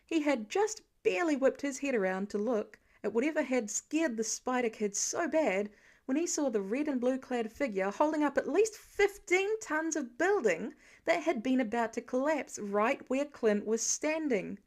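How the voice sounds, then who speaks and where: A woman with a high-pitched voice narrates a piece of fiction. She reads the scripted prose expressively, with a wry storytelling touch. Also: expressive, moderate pace. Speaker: amateur audiobook narrator.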